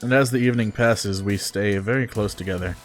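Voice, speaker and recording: A man's voice: on the low side, brisk, poor audio. A man streamer reading a visual novel aloud, breaking off for jokey, mock-disgusted casual asides. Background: music.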